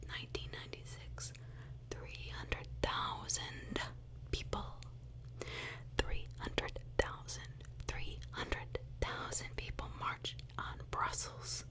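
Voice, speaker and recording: A woman host narrating true crime in an ASMR whisper, emphatic, repeating phrases and dropping in personal asides.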